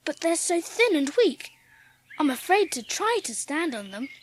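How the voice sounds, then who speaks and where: A woman's voice: high-pitched, sing-song, moderate pace, slight background noise. A woman playing a frail, imperious and eager upper-class English boy in an audiobook drama, earnest and well-spoken. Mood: earnest, excited.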